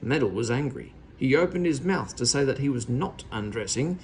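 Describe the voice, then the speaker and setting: A man's voice: medium pitch, expressive, normal speed, darkish tone, slight background noise. A man reading a children's storybook aloud as narrator. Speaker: story reader.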